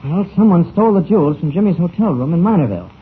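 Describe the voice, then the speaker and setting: An American man playing an earnest, sharp young newspaper reporter in a brisk 1940s radio serial style, on an old radio recording. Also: on the high side, lively intonation, husky, phone-quality sound. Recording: very noisy, scratchy, thin 1940s radio recording.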